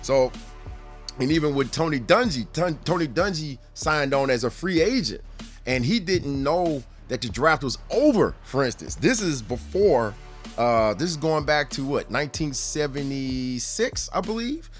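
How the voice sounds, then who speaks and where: A man's voice: a little high, lively intonation, unhurried, soft background music. A man hosting a podcast, telling sports stories in a casual, rambling, conversational way with amused asides. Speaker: podcast host.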